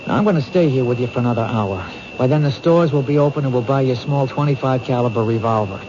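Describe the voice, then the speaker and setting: An actor playing a determined, protective police detective in a 1970s radio drama, reasoning things out insistently. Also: male voice, mid-range voice, fast talker, lightly acted. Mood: firm, insistent. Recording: dull, noisy 1970s radio recording.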